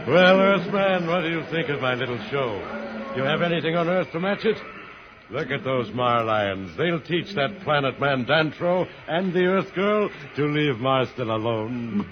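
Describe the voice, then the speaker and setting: An actor playing a pompous, menacing tyrant who rules Mars in an old-time radio serial. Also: male voice, fairly high pitch, animated, measured pace. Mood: gloating menace. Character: gloating, volatile tyrant ruler of Mars. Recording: noisy, muffled old radio recording.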